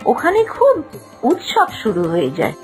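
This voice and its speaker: A woman's voice: medium pitch, wildly swooping pitch, measured pace, soft background music. A woman narrating a scripted children's moral story with a dramatic storytelling delivery.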